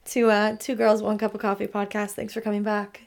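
A young woman co-hosting a podcast in relaxed, chatty talk, with "like" in nearly every phrase. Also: mid-range voice, brisk. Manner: chatty, relaxed, says "like" a lot. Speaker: podcast co-host. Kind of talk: casual chat.

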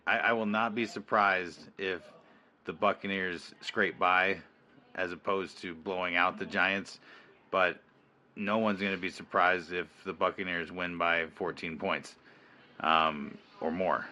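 A man co-hosting a casual sports podcast, talking in a measured way with hesitant restarts. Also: deep voice, steady tone, pushed and bright. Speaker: podcast co-host.

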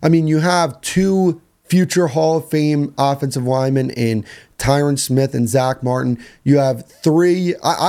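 A young man with a hoarse voice, hosting a solo sports podcast in a casual, rambling way that repeats phrases. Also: mid-range voice, dark tone. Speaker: podcast host.

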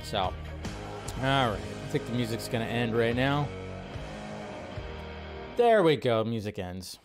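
A man's voice: mid-range voice, sing-song, slow pace, over music. A man hosting a livestream in a casual, rambling, informal way, chatting to viewers and repeating his phrases. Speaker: livestream host. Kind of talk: casual livestream chat, greeting viewers and reading comments.

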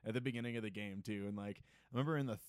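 Laid-back, profane young man, low voice. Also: very fast, clear, resonant tone, no room sound, studio quality. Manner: loose, swears a lot, laughs often. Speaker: podcast co-host. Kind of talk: casual chat.

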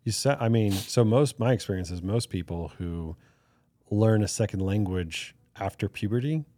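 A young man hosting a podcast, chatting casually with his guest in a relaxed, talkative way. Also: fairly low pitch, moderate pace, dry recording. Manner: relaxed, chatty, tells anecdotes. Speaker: podcast host. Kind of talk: casual interview chat.

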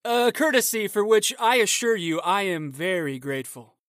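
A man's voice: sits high, lively intonation, normal speed, a bit bright, no room sound, clean studio sound. A man reading the part of a young, polite swordsman newly arrived in the city, earnest and courteous. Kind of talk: audiobook character reading.

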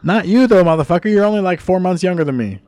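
Man, thirties, lively swinging pitch, cussing, loud bursts. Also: quick pace. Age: 30s. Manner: profane, animated, bursts out loudly. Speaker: podcast co-host. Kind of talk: casual chat.

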